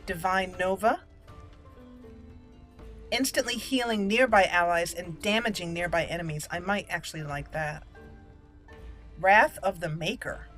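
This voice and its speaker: A woman's voice: on the low side, a little slow, pauses often, fairly bright tone. A woman giving casual, chatty gaming commentary, thinking aloud and exclaiming as she plays. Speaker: gameplay video host. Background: game music.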